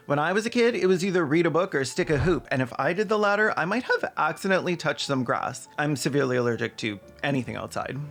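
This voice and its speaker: A man's voice: on the high side, expressive, fast, hardly any pauses, fairly bright tone, faint music behind. Sarcastic male video essayist. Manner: sarcastic, irreverent. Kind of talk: scripted comedic commentary with casual asides.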